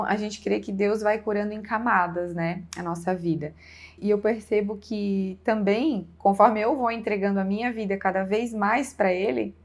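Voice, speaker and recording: A woman pastor with a southern Brazilian accent, giving an informal personal testimony as a guest, with frequent conversational check-in tags. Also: mid-range voice.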